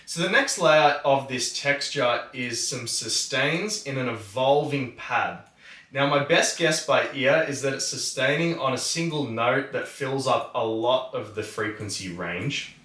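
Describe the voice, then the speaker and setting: A man presenting a music tutorial, explaining methodically in a measured, instructional way. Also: mid-range voice, bright, forceful tone. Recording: roomy, distant microphone.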